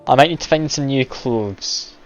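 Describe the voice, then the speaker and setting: A man's voice: mid-range voice, normal speed. A man streaming a game live, chatty and self-deprecating, laughing often as he plays. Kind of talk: casual live game commentary. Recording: dull lo-fi stream audio, game music behind.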